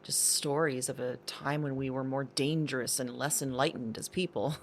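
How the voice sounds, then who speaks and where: A woman's voice: a bass voice, steady tone, moderate pace, a little hiss or hum. A woman playing a shaken, thoughtful diarist in an intimate audio drama monologue, speaking hesitantly in broken, reflective phrases.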